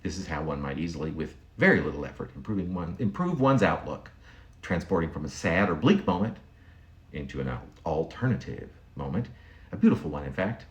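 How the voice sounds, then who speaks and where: A man reading his own poem aloud as a poet, in a reflective manner with deliberate, broken phrasing and pauses. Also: sits low, big pitch swings. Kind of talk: literary poetry reading.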